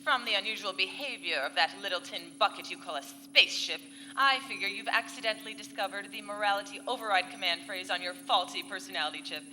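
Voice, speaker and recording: A woman's voice: mid-range voice, quick pace, pushed and bright, lightly acted. A woman playing a mocking, imperious space villainess in a pulp sci-fi comedy radio play. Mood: gloating. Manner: sardonic, gloating. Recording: live convention hall stage, reverberant, light music.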